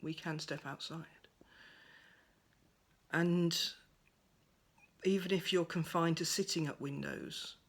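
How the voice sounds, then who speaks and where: A woman giving a gentle, thoughtful monologue at home by a window, her voice soft and at times close to a whisper. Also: low voice, steady tone, slow, pauses often, husky. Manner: soft-spoken, thoughtful, drifts into near-whispers. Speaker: pagan speaker sharing reflections. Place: at home by a window over a garden.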